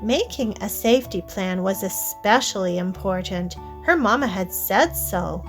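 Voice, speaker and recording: A woman narrating a children's storybook read-aloud in a deliberate way, addressing young listeners directly. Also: medium pitch, lively intonation, faint music behind.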